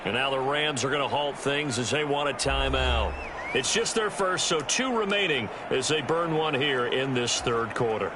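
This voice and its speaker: A man's voice: medium pitch, normal speed, natural voice. A man acting as a polished American TV football play-by-play announcer, with a projected broadcast delivery. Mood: focused. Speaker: play-by-play commentator. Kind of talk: football game commentary. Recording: loud game stadium noise behind.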